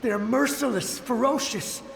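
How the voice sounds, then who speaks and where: A desperate ghostly soldier, speaks in a high male voice with dramatic urgency. The words ring in a long ghostly reverb, with faint ambient noise, as in a fantasy game. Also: normal speed, vocal fry, heavily acted. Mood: desperate, foreboding. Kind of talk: dramatic game dialogue acting.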